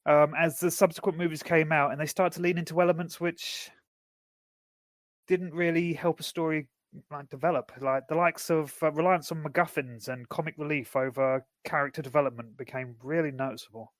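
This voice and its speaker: A man's voice: a little high, steady tone, a little fast, dry recording. A man co-hosting a podcast in casual chat, thoughtful and fairly fluent. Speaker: podcast co-host.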